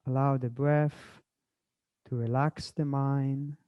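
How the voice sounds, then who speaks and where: A man from Israel speaking as a swami, courteous and unhurried, in a gentle spiritual talk and meditation style. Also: mid-range voice, level tone, no echo.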